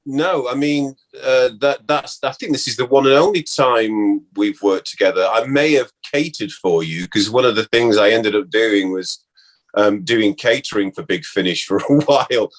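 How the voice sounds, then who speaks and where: A man, an actor being interviewed, reminisces casually in a halting way, often interrupting himself. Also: lowish voice.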